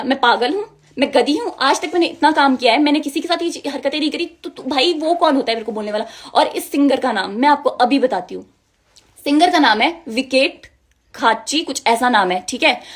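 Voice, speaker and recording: Young woman. High pitch, rapid delivery, indignant and emphatic first-person account. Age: young adult. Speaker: music video model. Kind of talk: personal account told to viewers.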